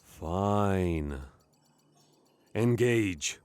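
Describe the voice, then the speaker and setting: Man acting a blustery, animated starship captain. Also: low voice, extremely slow, smooth, full character voice. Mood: impatient command. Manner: commanding, exasperated, theatrical. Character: blustery male spaceship captain, brash, impatient, self-important. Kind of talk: comedic sci-fi stage play reading.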